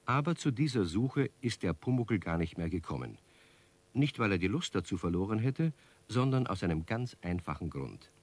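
An actor playing the storyteller, narrating a scripted children's tale in a radio play. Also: male voice, lowish voice, big pitch swings, moderate pace, no echo. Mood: explanatory.

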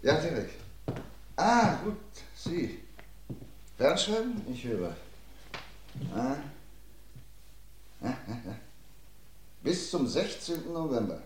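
An actor playing a shrewd police inspector in a 1950s radio drama, questioning a suspect in a measured, pointed way. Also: male voice, a little high, big pitch swings, slight background noise. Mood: accusing. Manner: measured, pointed interrogating delivery.

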